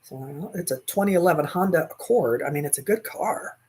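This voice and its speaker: A woman's voice: low voice, brisk, warm tone, breathy edge. A woman in her sixties chatting casually and laughing easily while she crochets, answering viewers' comments on a livestream from a small room at home, recorded on a webcam. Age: 60s. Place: small bedroom at home, indoors. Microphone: webcam at desk.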